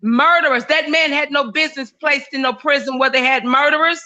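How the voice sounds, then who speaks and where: A woman co-hosting a casual opinion talk show. Her voice sits high and sounds bright and pointed, and she speaks emphatically, restarting phrases as she pushes her point. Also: fairly even intonation, brisk, highs cut off. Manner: emphatic, many fillers and restarts.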